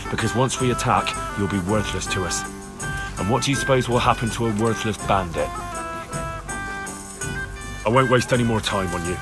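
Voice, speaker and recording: An actor playing a stern, taunting young medieval soldier in a video game, speaking firmly and deliberately as an interrogator. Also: male voice, fairly low pitch, natural voice. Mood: stern, threatening. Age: young adult. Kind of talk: video game cutscene acting. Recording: music under the voice.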